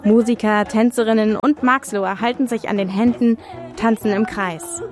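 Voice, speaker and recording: A woman's voice: on the high side, normal speed. A woman reporter reading a scripted radio feature narration. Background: music.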